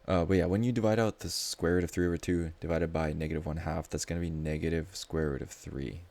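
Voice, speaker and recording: A man's voice: low-pitched, fairly even intonation, fast, vocal fry, darkish tone. A man tutoring math live in a casual, informal way, thinking aloud as he explains.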